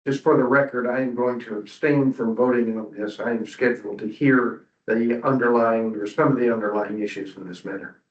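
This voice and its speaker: A man's voice: medium pitch, steady tone, a little fast, echoey room. A man speaking formally as a member of a public commission, heard muffled and from a distance in a meeting room.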